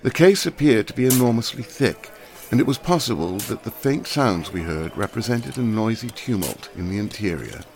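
A man narrating a classic novel aloud in a measured, precise reading. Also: on the low side, expressive. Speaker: audiobook narrator.

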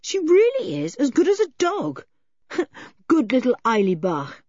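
Woman doing a sensible, steady boy leader. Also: sits high, measured pace, breathy edge, no echo, dull audio, pitched above the performer's own voice, heavily acted. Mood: calm. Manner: calm, reasonable.

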